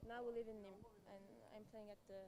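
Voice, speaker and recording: A woman in her twenties whose first language is Turkish, answering interview questions casually in a hesitant, soft-spoken way with frequent little laughs. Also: mid-range voice, flat delivery, a little fast, rough recording. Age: 20s. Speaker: tennis player, qualifier.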